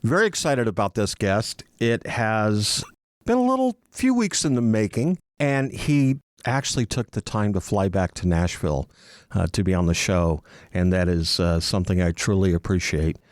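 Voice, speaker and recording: A man's voice: fairly low pitch, animated, normal speed, dry recording. A man hosting a studio podcast interview, asking friendly and enthusiastic questions at the podcast table. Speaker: podcast host.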